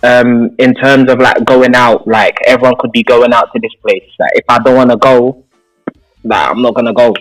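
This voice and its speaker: A man's voice: medium pitch, fairly even intonation, moderate pace, low-quality recording. Young man chatting, music behind. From London, England. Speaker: radio guest. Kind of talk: casual reflective interview chat. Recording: background music under the talk.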